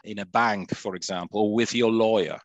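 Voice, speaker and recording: A man's voice: fairly low pitch, a little fast, dry recording. A man from Ticino in Switzerland, a native Italian speaker with an Italian accent, answering interview questions thoughtfully with many restarts and "you know"s. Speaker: guest, radio host and author.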